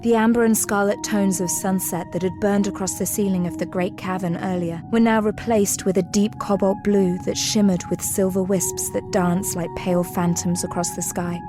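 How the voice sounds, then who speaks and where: A woman giving scripted dramatic narration for an audio drama in a measured, literary manner. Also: medium pitch, fairly even intonation, music in the background.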